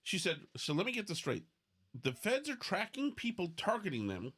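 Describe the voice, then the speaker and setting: A man hosting a podcast in casual, opinionated banter, excitable and freely swearing. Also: sits high, normal speed, breathy edge. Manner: profane, excitable. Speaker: podcast host.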